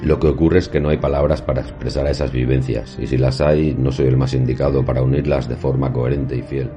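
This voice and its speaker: A man playing an erudite professor who recounts paranormal experiences in a horror audio drama, with formal, measured, literary diction. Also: rumbling low, level tone. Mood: grave, reflective. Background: music.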